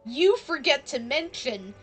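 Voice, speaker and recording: A woman's voice: sits high, lively intonation, a little slow. A woman live streaming a game, chatting casually and playfully with viewers. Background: game music.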